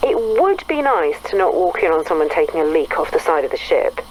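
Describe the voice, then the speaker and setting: A woman's voice: low voice, big pitch swings, a little mellow, poor audio. Casting: sardonic, reserved British woman, very varied intonation, natural game acting, faint noise behind. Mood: dry, hesitant. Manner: dry, measured, sardonic.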